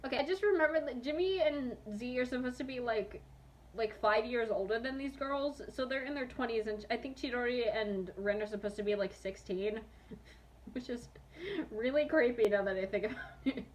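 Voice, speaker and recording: A woman's voice: on the high side, moderate pace, slight background noise. A young adult woman hosting a dramatic reading, self-mocking and breaking into amused asides and laughter. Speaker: host reading own old fanfiction.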